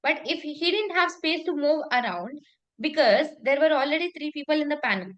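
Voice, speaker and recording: The high-voiced, emphatic Indian woman you would hear running a one-to-one public speaking session, repeating each tip and asking if that's okay. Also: expressive, a little fast, a bit bright, dull audio. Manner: emphatic, repetitive, checks in with "okay?". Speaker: public speaking coach and talk show host. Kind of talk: informal coaching conversation with anecdotes.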